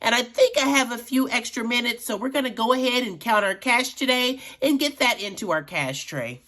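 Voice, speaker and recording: A middle-aged woman vlogging about her budget in a chatty, friendly way, a little raspy from allergies. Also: fairly high pitch, animated, moderate pace, a bit bright. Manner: chatty, addresses viewers as friends. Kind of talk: casual chat while counting cash.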